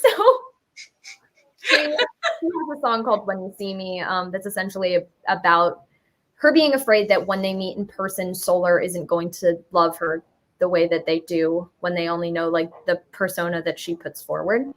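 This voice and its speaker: A woman's voice: on the low side, expressive, moderate pace, fairly bright tone. Chatty young woman, gushing and informal. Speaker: musical writer, interview guest. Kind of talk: casual enthusiastic chat.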